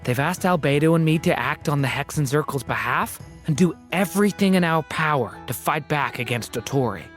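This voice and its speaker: An earnest young researcher. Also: male voice, a little high, animated, brisk, a bit bright, soft background music. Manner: earnest, polite. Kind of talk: video game dialogue.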